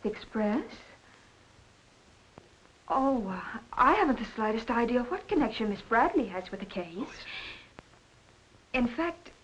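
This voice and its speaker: A woman acting an earnest, anguished wife in a 1940s film melodrama, measured and dramatic. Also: a little high, sing-song, a bit bright, breathy edge, exaggerated voice. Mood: guarded, polite. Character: earnest, anguished married woman pleading for honesty. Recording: muffled, hissy old film soundtrack.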